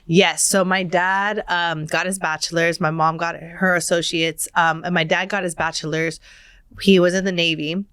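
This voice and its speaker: A woman's voice: on the low side, little pitch movement, moderate pace, a bit bright, clean tone, dry recording, studio quality. A young adult woman from San Diego, telling stories in a chatty, earnest way as a podcast guest. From East County, San Diego, California. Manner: chatty, earnest storytelling with fillers. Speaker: guest, sports agent. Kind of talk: casual interview conversation.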